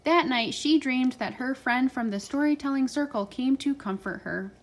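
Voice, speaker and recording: A woman's voice: high-pitched, moderate pace. A woman reading a children's storybook aloud as a parent reading with a child.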